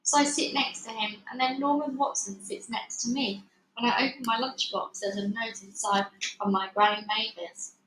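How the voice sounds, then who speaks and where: A woman reading a children's book aloud in an expressive, dramatic storytelling style. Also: fairly high pitch, moderate pace. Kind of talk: animated read-aloud narration. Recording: echoey room, faint background noise.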